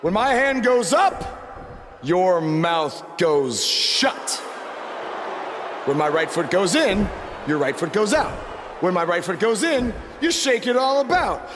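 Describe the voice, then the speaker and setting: An actor playing a wrestler who mockingly imitates a vain star, pompous and theatrical, in a video game. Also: male voice, extremely high pitch, lively intonation, measured pace, long reverb tail, noisy background. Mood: mocking, bombastic. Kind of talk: video game parody promo.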